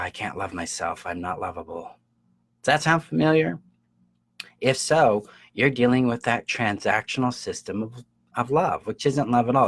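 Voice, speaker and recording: A man's voice: mid-range voice, husky, no echo. A man giving an explanatory self-help coaching talk straight to viewers, stressing key words emphatically and checking in with listeners. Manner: emphatic, acts out imagined quotes, checks in with listeners.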